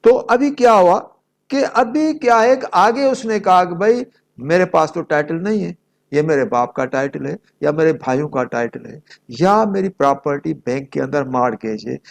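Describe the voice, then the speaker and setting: A man's voice: on the high side, lively intonation, moderate pace, gravelly. A man giving an explanatory law lecture, reading out formal text and restating each point in a didactic way. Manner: didactic, repeats and rephrases points. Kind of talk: explanatory lecture mixing read-out statute and plain examples.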